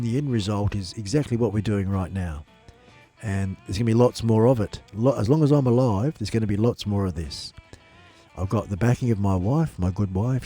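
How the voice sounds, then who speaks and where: A man's voice: lowish voice, mellow. A man with a blocked nose, hosting a casual live stream in an upbeat, loose, repetitive way. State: blocked nose, a bit nasal. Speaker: livestream host. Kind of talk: rambling casual live chat. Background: faint music in the background.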